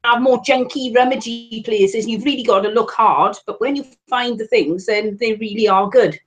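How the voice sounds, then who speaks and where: A woman's voice: a little high, normal speed, lo-fi sound. A woman with a Welsh accent from South Wales, a guest reseller on a live stream, chatty and candid with wry asides. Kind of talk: casual live-stream chat.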